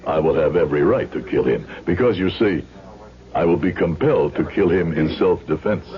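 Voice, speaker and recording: Grieving vengeful middle aged man, low formal voice, 70s radio drama, noisy old broadcast. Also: animated, dull audio. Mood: cold menace. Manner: formal, deliberate, rhetorical questions. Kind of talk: 1970s radio drama acting.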